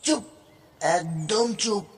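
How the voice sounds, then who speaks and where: A performer voicing a merciless male kidnapper in a cartoon, with a threatening, drawn-out delivery. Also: medium pitch, lively intonation, very noisy. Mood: gloating, threatening. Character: menacing, merciless male kidnapper and killer villain. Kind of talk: cartoon villain dub.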